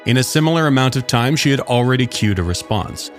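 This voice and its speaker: Man narrating fiction, lively pitch swings. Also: fast, faint music behind.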